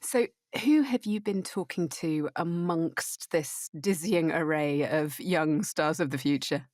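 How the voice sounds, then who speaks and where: Female podcast presenter, dry close mic, slightly low, wry and playful. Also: slow pace, a little mellow, dry recording. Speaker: podcast host.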